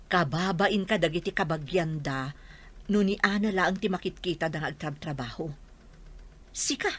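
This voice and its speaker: A woman's voice: fairly low pitch, moderate pace, natural voice. An actress playing a scolding, disappointed mother in a Filipino radio drama, with a Filipino accent.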